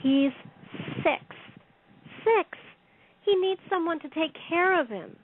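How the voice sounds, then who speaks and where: An actress plays a cool, taunting female robber in an audio drama. Her voice is high and she takes her time, needling and daring. The sound is dull and lo-fi. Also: expressive, slow, a little hiss or hum, heavily acted. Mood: incredulous, scornful. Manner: mocking, challenging. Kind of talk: radio-play style acting. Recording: dull, muffled lo-fi line.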